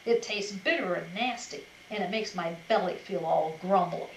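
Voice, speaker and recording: A woman's voice: fairly low pitch, normal speed, roomy sound, a little hiss or hum, close to an everyday voice, low-quality recording. Woman putting on a sly, growling wolf. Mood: disgusted. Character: greedy male wolf villain.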